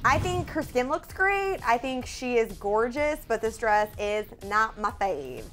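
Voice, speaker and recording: A woman's voice: on the high side, sing-song, a little slow, fairly bright tone. A young woman with an American accent giving casual, emphatic fashion commentary as a show's co-host. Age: young adult. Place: indoor studio set. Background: music.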